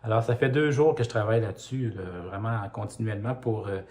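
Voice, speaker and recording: A man with a Québécois French accent, a pianist-composer talking casually to the camera at home, thoughtful and pausing often to find his words. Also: fairly low pitch, darkish tone. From Quebec. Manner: thoughtful, searching for words with frequent pauses. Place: indoors at home.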